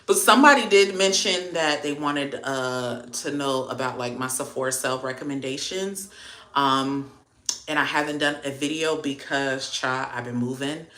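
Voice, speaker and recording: Woman, Haitian American accent, Miami, low pitch, chatty livestream host. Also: normal speed, roomy sound. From Miami, Florida. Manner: chatty, lively, slangy. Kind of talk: casual livestream chat reading viewer comments.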